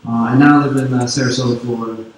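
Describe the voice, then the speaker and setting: Brief: French-Canadian male conference speaker, laid-back explaining, distant mic, booming hall. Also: rapid-fire. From Quebec, Canada. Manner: relaxed, filler-heavy explaining. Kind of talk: technical conference talk. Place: large conference hall on stage. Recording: echoey hall, distant mic, faint noise.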